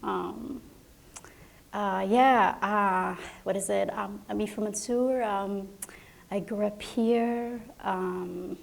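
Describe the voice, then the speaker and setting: Slow, gravelly-voiced woman sharing softly. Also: medium pitch, steady tone. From Somalia. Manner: halting, many fillers, soft-spoken. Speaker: playwright and panel guest. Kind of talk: reflective personal sharing.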